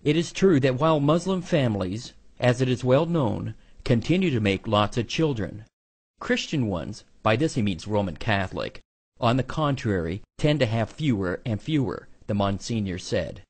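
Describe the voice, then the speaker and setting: Husky man reading the news. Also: mid-range voice, big pitch swings, normal speed, darkish tone. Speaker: news narrator. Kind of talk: scripted news narration.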